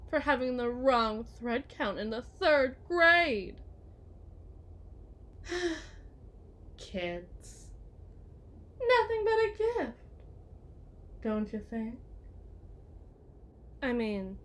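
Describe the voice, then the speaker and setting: A woman's voice: high-pitched, full character voice. A woman playing a genteel, possessive kidnapper wife in a theatrical ASMR roleplay, condescending and syrupy sweet with veiled menace. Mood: condescending, insinuating, then sweetly possessive. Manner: mannered, condescending, syrupy endearments, veiled threats.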